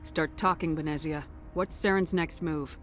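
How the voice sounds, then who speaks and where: A woman plays a commanding soldier in a sci-fi game with a low voice. She is tough, terse and direct, and music sits lightly behind her. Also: fairly even intonation, moderate pace, natural voice. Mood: firm, questioning. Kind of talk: video game voice acting.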